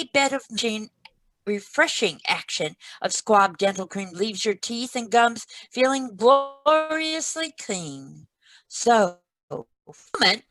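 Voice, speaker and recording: A woman's voice: on the high side, fairly bright tone, rough recording. A woman playing a formal 1940s radio announcer reading sponsor copy over a video call. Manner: measured, deliberate, formal. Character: polished 1940s radio announcer pitching toothpaste. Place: remote read-through over a video call.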